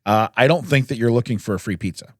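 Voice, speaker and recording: A man, a podcast co-host, telling odd news stories in a casual, jokey way with chuckles and throat-clearing. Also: mid-range voice, fast talker, dry recording, clean studio sound.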